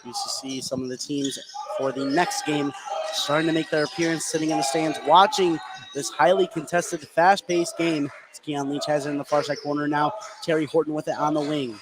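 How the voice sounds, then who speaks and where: A man doing live play-by-play basketball commentary for radio, getting excitable on big plays. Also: fairly high pitch, moderate pace. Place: indoor gymnasium during a game. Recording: noisy live gym broadcast.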